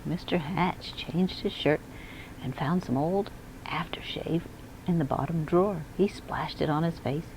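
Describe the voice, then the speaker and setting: A woman reading a children's picture book aloud in an expressive storytelling manner. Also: low voice, unhurried, a little hiss or hum, rough recording. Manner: expressive, acts out dialogue and emphasis. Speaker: school media specialist reading aloud.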